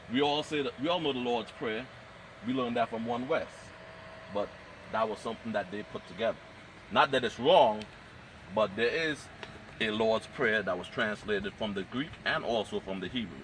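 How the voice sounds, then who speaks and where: A man teaching a Hebrew class, explaining in a measured way and reading scripture aloud. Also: lowish voice, noisy background. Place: outdoor training camp.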